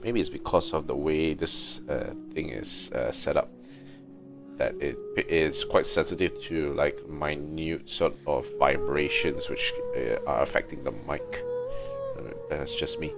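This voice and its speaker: A man's voice: very deep voice, a little slow, creaky, over music. A man hosting a casual gaming livestream, talking in a hesitant, rambling way. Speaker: livestream host.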